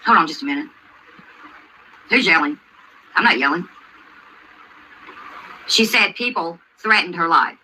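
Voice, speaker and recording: A woman's voice: on the low side, lively intonation, unhurried, pauses often, sharp tone, slight background noise, poor audio. A woman hosting a livestream, commenting on a video and answering chat in an insistent way, repeating herself and asking questions. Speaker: livestream host.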